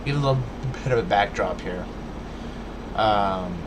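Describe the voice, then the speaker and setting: A man's voice: lowish voice, a little slow, background noise. A man ranting to his viewers in a casual, earnest way, with a stutter and many restarts and fillers. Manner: earnest, lecturing, addresses viewers directly. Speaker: vlogger. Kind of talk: casual rant to camera.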